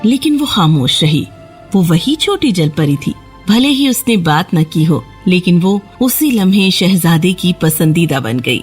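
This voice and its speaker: A woman's voice: lowish voice, animated, slightly rough, soft background music. A woman storyteller giving a scripted narration of a children's fairy tale.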